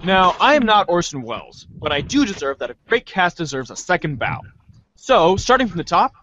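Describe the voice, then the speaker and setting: Theatrical high-voiced man hosting, muffled. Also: big pitch swings, a little fast, bright, forceful tone, a little hiss or hum. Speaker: show host. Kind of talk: informal show sign-off.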